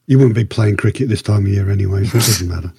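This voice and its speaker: A man's voice: lowish voice, quick pace, vocal fry, background noise. A man chatting casually on a podcast panel with dry, teasing humour. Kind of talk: casual chat.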